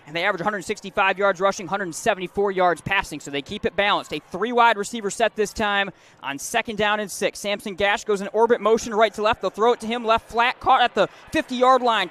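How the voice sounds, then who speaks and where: A man's voice: high-pitched, pushed and bright. A man doing live radio play-by-play of a football game, describing the action fluently and in detail. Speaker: play-by-play sports announcer.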